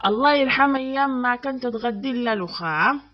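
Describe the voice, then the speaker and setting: Woman playing a high-voiced, grumbling Adeni housewife. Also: expressive, fast talker, close to an everyday voice. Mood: wistful grumbling. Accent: Adeni Yemeni. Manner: sighing, complaining. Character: weary, grumbling Adeni housewife with a sharp tongue. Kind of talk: cartoon comedy dub. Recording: dull audio with faint music.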